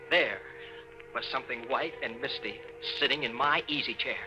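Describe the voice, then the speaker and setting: A man's voice: fairly high pitch, close to an everyday voice, low-quality recording. An actor playing a desperate young everyman, a man telling his own story dramatically in 1950s radio style. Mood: bewildered. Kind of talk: 1950s radio narration acting. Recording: old 1950s radio recording.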